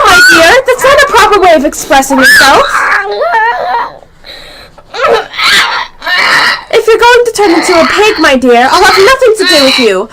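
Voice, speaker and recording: Female amateur as a very high-voiced, curious girl. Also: heavy background noise. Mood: alarmed. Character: curious, polite, easily exasperated little girl. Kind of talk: amateur radio play acting.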